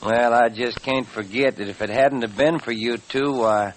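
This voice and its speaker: Earnest cowboy rancher acted by a man, mid-pitched, Western American accent, folksy gratitude, old radio recording. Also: steady tone, normal speed, slight background noise, lightly acted, poor audio. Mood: earnest, grateful. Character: grateful, folksy male ranch owner.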